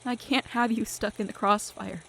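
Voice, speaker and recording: A woman's voice: medium pitch, steady tone, normal speed, creaky, fairly bright tone, slightly rough. A young woman playing an anxious, defiant divination student in an audio drama, speaking earnestly with a faltering, wounded delivery. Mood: despondent, anxious.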